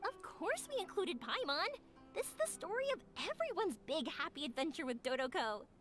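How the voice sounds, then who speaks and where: A woman's voice: high-pitched, very animated, fairly quick, over loud music. A woman voicing an eager young girl in an anime video game, with a light, girlish voice. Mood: cheerful.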